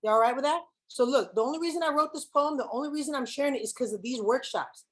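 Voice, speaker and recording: A woman's voice: sits high, fast, a bit bright. A young woman giving a keynote speech to students, switching between English and Spanish and asking the audience questions. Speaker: keynote speaker. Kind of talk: speech to students with spoken-word poem.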